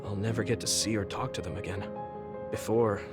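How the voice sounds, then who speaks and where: A man's voice: lowish voice, fairly even intonation, fairly quick, lightly acted. Guy acting earnest young soldier dude from anime game dub, heartfelt n thoughtful, music behind him. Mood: grieving, guilty. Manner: earnest, reflective, sincere delivery. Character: earnest young male soldier, sincere and grieving. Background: game background music.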